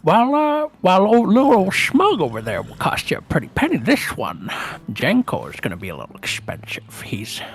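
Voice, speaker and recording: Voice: medium pitch, huge pitch swings, fairly quick, husky, pitched above the performer's own voice, exaggerated voice. A performer voicing an eager, chatty stable merchant in a tabletop game, with hesitant, folksy sales patter. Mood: salesman patter. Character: eager, chatty stable merchant selling riding lizards, wheeling and dealing.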